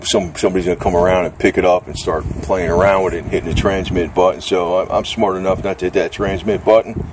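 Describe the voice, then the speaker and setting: A middle-aged man chatting casually and unscripted about gear, rambling in a plain-spoken, salty way. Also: sits low. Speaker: vlogger reviewing gear. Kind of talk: casual, unscripted product chat. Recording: steady background noise.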